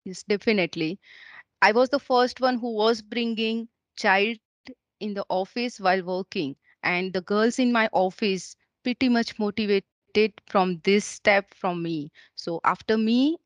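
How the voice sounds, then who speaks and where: A woman's voice: medium pitch, unhurried, no room sound. Indian woman with a Gujarati accent. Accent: Gujarati-influenced Indian English. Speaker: executive, interview guest. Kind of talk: interview answers.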